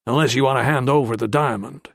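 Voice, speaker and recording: A man's voice: mid-range voice, fast talker, creaky, no room sound, studio quality. A male narrator voicing a blunt, shrewd officer who barks orders. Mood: gruff, commanding.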